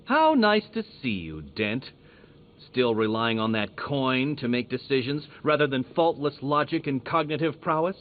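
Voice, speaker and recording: A man's voice: mid-range voice, big pitch swings, moderate pace, narrow, tinny audio, a little hiss or hum, close to an everyday voice. Pompous, manic supervillain genius, acted by a man, thin audio. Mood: haughty contempt. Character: arrogant, manic male supervillain genius, petulant and obsessive. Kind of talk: video game villain acting.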